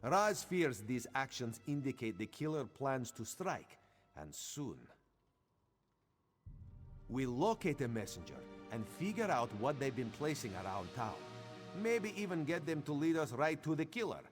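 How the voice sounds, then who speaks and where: A man's voice: mid-range voice, very animated, unhurried. An actor voicing a vain, playful cat-man agent in a video game, speaking theatrically and with self-assurance. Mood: confident, conspiratorial. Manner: theatrical, self-assured, refers to himself by name. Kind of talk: video game character dialogue. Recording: music behind the voice.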